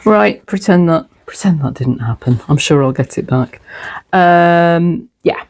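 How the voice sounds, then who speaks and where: A woman's voice: on the low side, lively intonation, unhurried, a little mellow. A middle-aged woman in her home sewing room, chatting casually through a sewing tip, a little throaty from a cold, with plenty of ums. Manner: chatty, self-deprecating, frequent ums. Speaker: sewing vlogger. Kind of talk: casual sewing tutorial and chat.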